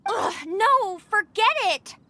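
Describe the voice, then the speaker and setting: A woman's voice: very high-pitched, expressive, takes it slowly, sharp tone, exaggerated voice. An actress playing a frightened, snappish young woman in a video game, defensive and whiny in manner. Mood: scared, irritated.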